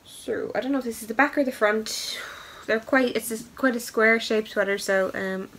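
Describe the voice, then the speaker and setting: A woman's voice: on the high side, normal speed, fairly bright tone, rough recording. A young woman hosts a knitting podcast in a casual, chatty way, full of ums and upbeat asides, and she has a head cold. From Ireland. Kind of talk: casual show-and-tell chat.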